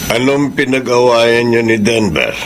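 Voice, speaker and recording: A man's voice: mid-range voice, lively intonation, unhurried. An actor playing a concerned older Filipino father giving advice in a radio drama. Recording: noisy ambience behind the voice.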